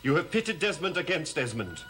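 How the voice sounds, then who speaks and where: An actor playing an intense, accusing heir of a cursed family in a theatrical gothic television soap. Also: male voice, a little high, big pitch swings, moderate pace, a bit bright, slight background noise. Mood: grave, triumphant. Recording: old TV soundtrack, dramatic music underneath.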